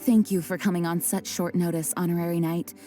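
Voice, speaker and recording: A woman voicing an earnest, composed young knight commander in a video game, speaking in a formal, measured, diplomatic way. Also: lowish voice, flat delivery, dark tone, faint music behind, lightly acted. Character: earnest, composed young female acting grand master of knights.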